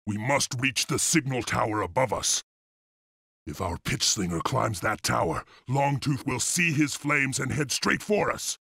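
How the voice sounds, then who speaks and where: A man's voice: mid-range voice, animated, measured pace, bright, forceful tone, no room sound, studio quality, exaggerated voice. A man voicing a steadfast, commanding rebel leader of a wolf clan in a video game. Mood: grave, urgent. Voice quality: husky. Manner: commanding, resolute. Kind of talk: dramatic video game voice acting.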